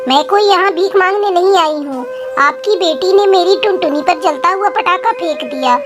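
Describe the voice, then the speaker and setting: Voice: very high voice, normal speed. A woman voicing a poor, anxious mother crow in a children's cartoon, scolding and protective. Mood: pleading. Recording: music behind.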